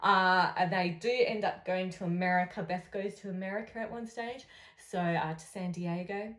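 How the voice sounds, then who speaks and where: A woman with an Australian accent chatting casually and playfully to camera as an author promoting her book, in an animated, informal way. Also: lowish voice, normal speed. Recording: echoey room sound.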